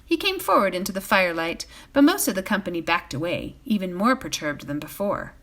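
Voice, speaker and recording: A woman reading a bedtime fantasy novel aloud as a volunteer, in an expressive storytelling style. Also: on the low side, fairly quick.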